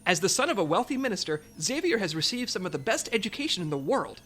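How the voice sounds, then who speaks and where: A woman's voice: medium pitch, sing-song, fast, a bit bright, breathy edge. A woman playing a businesslike, patient intelligence section chief giving a briefing in a comedy audio drama, authoritative and diplomatic.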